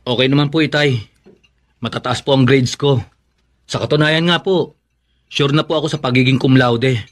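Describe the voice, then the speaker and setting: An actor playing a meek, respectful college student son in a Filipino radio drama, polite and earnest. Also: male voice, mid-range voice, steady tone, moderate pace, lightly acted. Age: young adult.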